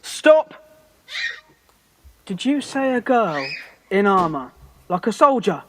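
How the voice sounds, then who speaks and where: A woman's voice: medium pitch, very animated, slow, a bit bright, slight background noise. A woman acting a superstitious, God-fearing army captain in a radio play, earnest and plain-spoken. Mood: awed. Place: actor's home, recorded remotely.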